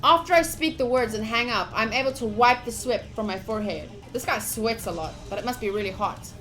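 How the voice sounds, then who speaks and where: A young woman streaming a visual novel, reading the text aloud with casual, gushy, expressive commentary. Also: fairly high pitch, brisk. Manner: expressive, gushy, slips into cute exclamations. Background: soft game music.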